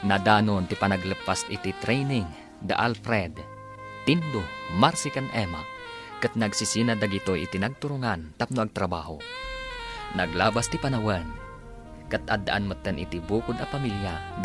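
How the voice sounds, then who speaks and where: Man, lowish voice, dramatic radio serial narrator, clear music bed. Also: animated, moderate pace. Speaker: announcer and narrator.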